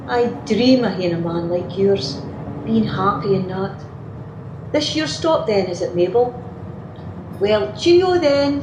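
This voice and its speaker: A woman playing a gossipy, complaining working-class Scottish wife in a radio play monologue. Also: medium pitch. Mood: aggrieved, chatty. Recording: roomy, noisy remote home recording.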